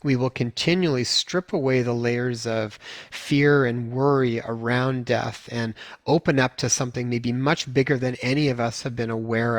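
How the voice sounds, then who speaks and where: A man hosting a podcast, introducing his guest in a friendly, rambling way. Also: medium pitch. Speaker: podcast host. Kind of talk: casual podcast interview.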